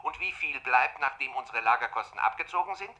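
A man's voice: medium pitch, lively intonation, fairly quick, sharp tone. An actor playing an officious, sardonic male SS inspector in a radio play, pointed and interrogating. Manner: pointed, interrogating, quoting with relish. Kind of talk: German radio play dialogue.

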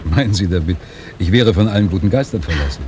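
A man's voice: low voice, fast, warm tone, a little hiss or hum, natural voice. A man playing a tormented, guarded astronaut trapped in his own time, in a German radio play. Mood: guarded, sceptical.

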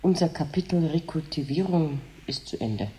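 Mellow, bitter woman. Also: low-pitched, expressive, moderate pace. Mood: bitter, resigned. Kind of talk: radio drama acting.

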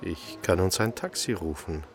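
An actor playing an inquisitive restaurant chef and amateur sleuth in a radio drama, matter-of-fact and probing. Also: male voice, low voice, lively intonation, moderate pace, music in the background. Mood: puzzled.

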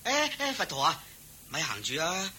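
An eager, simple-hearted cowherd in a Hong Kong Cantonese radio play. He is humble and exclamatory, with a highish, very bright voice that swings around a lot. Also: wildly swooping pitch, moderate pace, a little hiss or hum, heavily acted. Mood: eager, generous. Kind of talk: radio drama acting.

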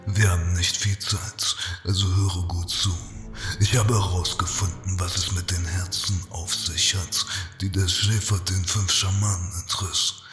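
A man playing a grave old demon summoner in a fantasy audio drama, with a breathy, weary voice. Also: low-pitched, flat delivery, a little slow, fairly bright tone. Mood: grave, urgent. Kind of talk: fan audio drama acting. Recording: echoing hall reverb with music.

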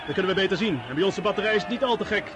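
Actor as high-voiced, courteous officer on the battlefield. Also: male voice, very fast, very noisy, natural voice. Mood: courteous, brisk. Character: courteous officer, calm under fire, guiding a count. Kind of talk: radio drama acting. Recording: loud artillery and horses behind.

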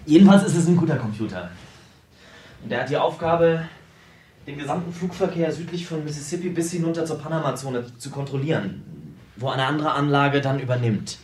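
An actor playing an eccentric, self-absorbed programmer in a radio play, explaining things at length with small hesitations. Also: male voice, on the high side, darkish tone, slight background noise, lightly acted. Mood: wry, explanatory. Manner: explanatory, lecturing, halting in places. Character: eccentric, self-absorbed American computer programmer, brilliant tinkerer. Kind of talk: naturalistic radio drama dialogue.

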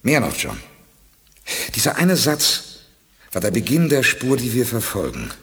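A resolute space commander. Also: male voice, mid-range voice, huge pitch swings, measured pace, fairly bright tone, in a large hall, exaggerated voice. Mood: thoughtful. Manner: decisive, commanding. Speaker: leader Perry Rhodan. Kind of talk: 1980s radio play acting.

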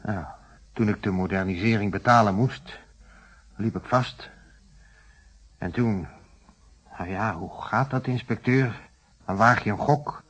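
An actor with a Netherlands Dutch accent playing a troubled, defensively candid shopkeeper in a radio play, plain-spoken and explanatory. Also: male voice, fairly low pitch, measured pace, pauses often, a bit bright, breathy edge, poor audio. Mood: confessing, explanatory.

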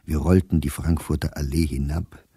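Very deep, mellow male diarist. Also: fairly even intonation, husky, no room sound, clean studio sound, full character voice. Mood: wry, reflective. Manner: measured, precise, clipped telegraphic phrasing. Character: observant middle-aged male diarist, dry and wry. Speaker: actor reading a diary. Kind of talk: literary diary narration in a radio play.

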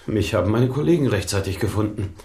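Casting: deep-voiced injured cop in radio crime play, grouchy, reproachful, roomy sound with slight noise. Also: male voice, animated, fast talker. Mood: sulky. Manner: grumbling, reproachful. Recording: roomy echo, faint noise.

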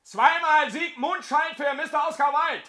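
An actor playing a slick, jovial, mocking bookmaker in a German radio play, with a jocular, chuckling manner. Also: male voice, extremely high pitch, measured pace, bright, forceful tone, exaggerated voice. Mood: jovial, brash.

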